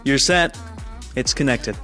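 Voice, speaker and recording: An actor playing a chatty, teasing colleague on a space station in a sci-fi audio drama, casual and inquisitive. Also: male voice, medium pitch, a little slow, pushed and bright, faint music behind.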